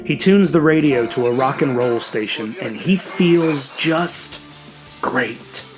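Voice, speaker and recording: A man's voice: mid-range voice, takes it slowly. A man reading a scripted horror story as a dramatic narrator. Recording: music behind the voice. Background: music.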